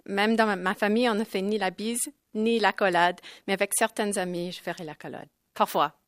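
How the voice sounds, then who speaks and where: A woman's voice: mid-range voice, fairly even intonation, a little fast, no room sound. Woman, native English speaker, self-mocking, dry close sound. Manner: self-mocking, matter-of-fact. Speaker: listener.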